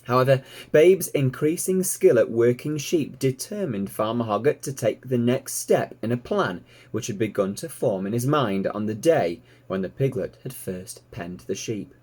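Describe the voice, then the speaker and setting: A man narrating a children's story in an expressive read-aloud style. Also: medium pitch, normal speed. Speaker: storybook reader, teacher.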